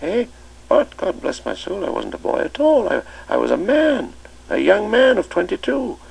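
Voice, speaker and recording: Guy doing a sweet old schoolmaster, way higher and louder than his own voice, gravelly, full of little ums and uhs. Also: wildly swooping pitch, normal speed, exaggerated voice, poor audio. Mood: kindly. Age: older. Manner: hesitant, with many ums and uhs. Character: elderly retired male schoolmaster, genial and kindly.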